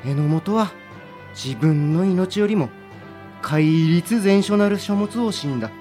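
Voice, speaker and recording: An actor playing a stern but earnest middle-aged samurai official with a Satsuma dialect, speaking formally and pleading with conviction. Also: male voice, high-pitched, animated, slow pace, warm tone, soft background music. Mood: impassioned pleading. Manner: formal, archaic, heartfelt pleading. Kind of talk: studio audio drama acting.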